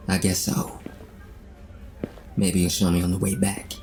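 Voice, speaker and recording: Very deep, gravelly, insinuating man. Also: completely flat delivery, unhurried, a little mellow, slight background noise. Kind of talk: crime audio drama acting.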